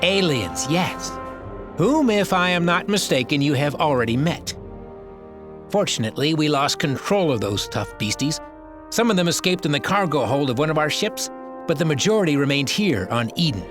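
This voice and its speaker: An actor playing a smug, cowardly scientist with a formal, wordy manner in a video game cutscene. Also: male voice, fairly high pitch, very animated, normal speed. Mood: smug explaining. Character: smug male scientist who bred aliens, cowardly under threat. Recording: loud music behind the voice. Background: music.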